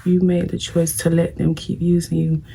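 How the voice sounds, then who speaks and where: A woman's voice: fairly low pitch, monotone, moderate pace, vocal fry, warm tone, husky. A young woman with a scratchy voice, chatting bluntly to viewers while doing her makeup. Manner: blunt, preachy, talks straight to viewers. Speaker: vlogger. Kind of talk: casual get-ready-with-me advice chat.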